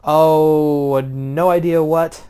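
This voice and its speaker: A man doing casual play-by-play of a video game wrestling match, jumping between loud outbursts and low, offhand, swearing asides. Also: steady tone, extremely slow, clear, resonant tone. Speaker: play-by-play commentator.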